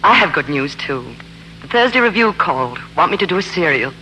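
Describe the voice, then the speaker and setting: Spirited, sharp-voiced 1940s independent woman. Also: fairly low pitch, very animated, fairly quick. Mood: bright, affectionate. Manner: direct, emotionally charged. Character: spirited, independent, tender but firm working woman. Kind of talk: 1940s radio acting. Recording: noisy, humming 1940s radio recording.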